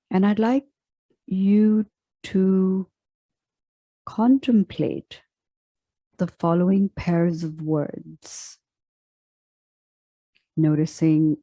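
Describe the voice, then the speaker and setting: A woman leading a guided meditation as a teacher, speaking in a soft, deliberate, instructional way. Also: fairly low pitch, dry recording.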